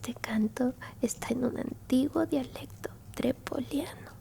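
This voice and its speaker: A woman voicing a gentle alien grandmother in a soothing, tender, unhurried whisper for an ASMR roleplay. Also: sits high, gravelly, heavily acted. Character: gentle alien grandmother comforting a lost traveler.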